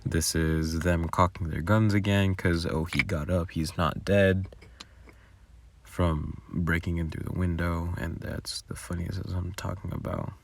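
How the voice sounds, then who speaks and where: A man's voice: low voice, steady tone, a little slow, gravelly, noisy background. A man giving casual behind-the-scenes commentary on his own audio work in a rambling, informal way. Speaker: audio roleplay creator.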